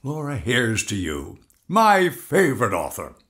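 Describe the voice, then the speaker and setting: An actor playing a jovial literary agent in an audio drama, effusive with flattery and fond of chummy teasing. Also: male voice, medium pitch, measured pace, full character voice.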